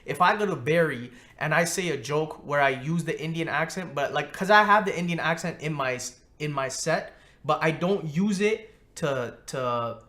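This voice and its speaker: A man's voice: on the high side, lively intonation, moderate pace, pushed and bright. A comedian on a casual podcast, explaining his point earnestly and restarting his sentences as he goes. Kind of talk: casual chat.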